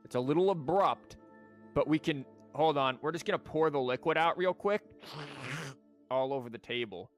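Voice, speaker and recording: A man's voice: on the high side, moderate pace. A young man streaming a story game live, swearing and making sarcastic jokes at the characters. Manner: profane, sarcastic joking at the game. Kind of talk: live gameplay commentary. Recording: faint game music behind.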